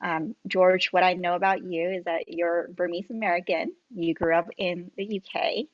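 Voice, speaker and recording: A woman's voice: lowish voice, fairly even intonation, moderate pace, no room sound. A woman moderating a panel discussion, earnest, with frequent fillers. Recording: dry, dull lo-fi sound.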